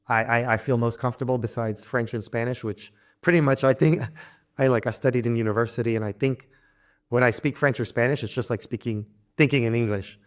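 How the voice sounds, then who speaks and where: A young man from Louisiana chatting as an interview guest, easygoing and unhurried. He halts and restarts often, trails off with fillers and laughs lightly between thoughts, and his pitch sits at an ordinary middle level. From Louisiana, United States. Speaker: interview guest, traveler and polyglot. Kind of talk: casual interview conversation.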